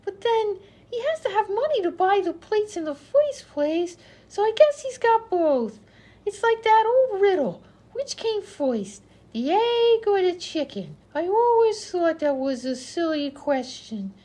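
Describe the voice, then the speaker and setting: A woman's voice: very high voice, sing-song, measured pace, mellow, heavily acted. A woman playing a dim-witted, chattering vaudeville patient who rambles in folksy, ungrammatical speech in a radio comedy. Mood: chatty, oblivious. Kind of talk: old-time radio comedy acting.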